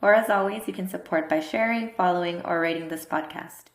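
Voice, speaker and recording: A woman hosting a podcast, asking questions and affirming her guest. Her voice is on the lower side with a gravelly fry. Her delivery is casual and encouraging. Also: brisk. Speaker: podcast host, dietitian.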